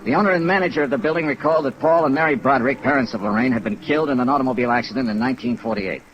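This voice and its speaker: An actor playing a wry, hard-boiled American insurance investigator, clipped and laconic, in 1950s radio detective style. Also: male voice, mid-range voice, racing, lo-fi sound, natural voice. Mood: wry narrating. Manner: clipped, laconic, matter-of-fact. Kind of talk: 1950s radio detective acting, first-person narration. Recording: noisy vintage 1950s radio recording.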